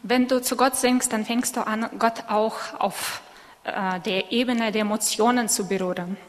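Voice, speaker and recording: A woman interpreting a sermon live, phrase by phrase, somewhat halting as she corrects herself. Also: fairly high pitch, fairly even intonation. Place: church hall. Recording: echoey hall with long reverb.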